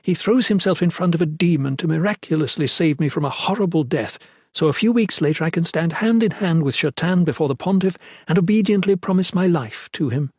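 A man voicing a high-born young woman in an audiobook, bitter, imperious and anguished, speaking with theatrical, sarcastic emphasis. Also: very animated, moderate pace, few pauses, darkish tone, no echo, clean studio sound. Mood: anguished rage.

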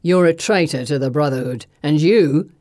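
A knife-wielding male villain, performed by a woman narrator, pitched well below her usual voice. He drawls his threats with a taunting sneer, in a close, dry audiobook recording. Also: moderate pace, a little mellow, no room sound, natural voice. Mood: sneering menace. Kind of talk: audiobook character voice.

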